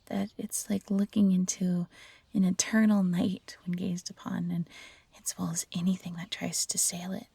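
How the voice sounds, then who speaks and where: A woman voicing a timid but loyal fox hybrid in an audio roleplay, earnest and expressive. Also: on the low side, moderate pace, gravelly, husky, no room sound, heavily acted. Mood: uneasy wonder. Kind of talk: scripted audio roleplay acting.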